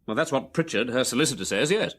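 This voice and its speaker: An aggrieved, money-worried heir with an English accent. He is irritable and exasperated, his medium-pitched voice jumping about expressively, as in a radio play. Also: lively intonation, fast, a bit bright. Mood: bitter. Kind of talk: radio drama acting.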